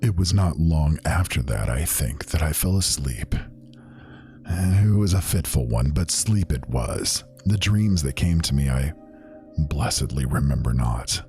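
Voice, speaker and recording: A haunted, sorrowful man , played by an actor, tells a tale of dark fantasy horror. His voice is extremely low and his phrasing solemn, archaic and broken by pauses, with music playing softly underneath. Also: animated, exaggerated voice. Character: haunted, grief-stricken man recalling horrors of undead. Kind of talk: dramatic first-person fantasy narration.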